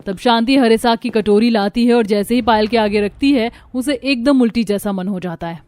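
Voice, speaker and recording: Higher-voiced woman narrating a cartoon. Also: normal speed, a little mellow, no echo. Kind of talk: scripted storybook narration.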